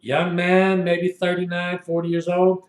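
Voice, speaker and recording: Man in his sixties, high-pitched voice, indignant rambling monologue, echoey room. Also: completely flat delivery, a little slow. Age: 60s. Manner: indignant, repetitive storytelling. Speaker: video blogger. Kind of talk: rambling first-person monologue.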